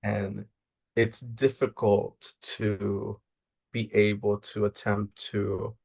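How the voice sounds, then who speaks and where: A young man from Maryland, a social worker, giving earnest, measured interview answers and thinking aloud as he goes. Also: on the low side, flat delivery, gravelly, a little mellow, husky. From Maryland, United States.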